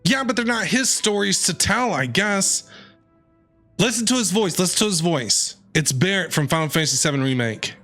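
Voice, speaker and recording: A man streaming a story game, reacting in an excitable, animated way. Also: high-pitched, normal speed, faint music behind.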